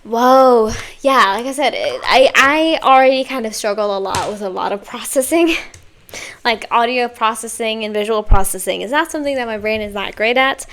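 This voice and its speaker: A woman's voice: on the high side, moderate pace, fairly bright tone. A young woman hosting a reaction video, sounding tired with a slightly worn voice, rambling casually with many fillers and restarts.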